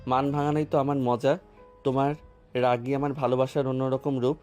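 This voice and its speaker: A man's voice: mid-range voice, brisk, soft background music, lightly acted. A man playing Shayan, a devoted earnest lover. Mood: playful. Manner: earnest, tender, even-toned. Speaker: voice actor. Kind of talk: romantic audio drama.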